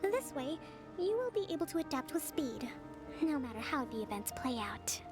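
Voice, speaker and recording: A woman voicing a poised, gentle young priestess and strategist in a video game, speaking in a measured, polite way. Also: high-pitched, very animated. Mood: composed, caring. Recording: game music behind the voice.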